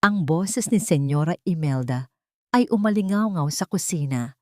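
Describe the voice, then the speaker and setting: A woman's voice: lowish voice, expressive, normal speed, no echo, studio quality. A woman reading a dramatic story as a scripted audiobook narration.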